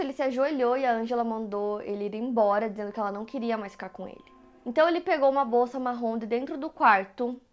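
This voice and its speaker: A Brazilian woman steadily narrating a true crime case from a script. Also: on the high side. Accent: Brazilian Portuguese. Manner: steady, even retelling of events.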